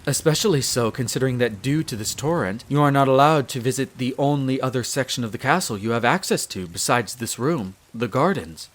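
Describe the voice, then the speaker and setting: A man's voice: medium pitch, brisk, few pauses, close to an everyday voice. Guy acting as a polite proper butler in a vampire castle, very formal and devoted, roleplay audio style. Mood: courteous, concerned. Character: courteous, devoted male head servant of a vampire castle. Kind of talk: scripted roleplay audio drama, addressing the listener.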